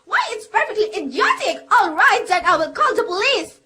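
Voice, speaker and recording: A woman's voice: very high-pitched, very animated, moderate pace, bright, forceful tone, a little hiss or hum, full character voice. A woman playing a frantic, imperious, self-pitying invalid wife in a melodramatic radio play, agitated and demanding. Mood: exasperated, indignant.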